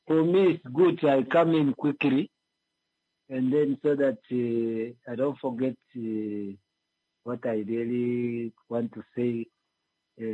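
A man calling in to a discussion, speaking politely and haltingly as he gives an unscripted comment over dull lo-fi audio. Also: mid-range voice, dry recording. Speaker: caller to a radio discussion.

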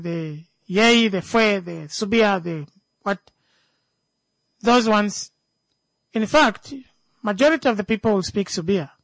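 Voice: medium pitch, no room sound, rough recording. A speaker with a Namibian accent who grew up speaking a local language, talking off the cuff in an emphatic way and repeating phrases for stress. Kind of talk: unscripted discussion.

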